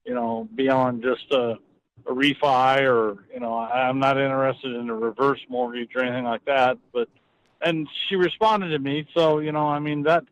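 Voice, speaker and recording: A man's voice: mid-range voice, steady tone, moderate pace, rough recording. Hesitant man thinking aloud on a call. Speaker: client answering a phone call. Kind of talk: unscripted phone conversation.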